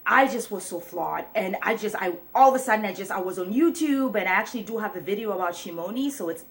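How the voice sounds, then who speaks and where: A young woman from Kenya talking earnestly to her viewers in a casual, reflective vlog, with many pauses and restarts. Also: medium pitch, expressive. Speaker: vlogger. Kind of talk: casual vlog talk to camera.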